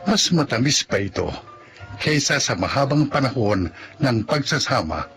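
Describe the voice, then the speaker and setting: A man delivers dramatic radio drama narration as announcer. His mid-pitched voice is very lively, with clear music behind it. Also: normal speed.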